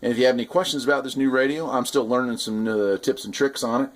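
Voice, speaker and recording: A man's voice: medium pitch, steady tone, fast. A man giving a casual hands-on product demonstration, explaining things in an informal, conversational way as a hobbyist host. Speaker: radio hobbyist host reviewing gear.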